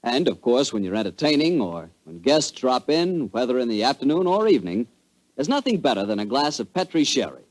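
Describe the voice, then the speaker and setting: A man announcing in 1940s radio commercial style, delivering a smooth, conversational sales pitch as sponsor spokesman. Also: low-quality recording.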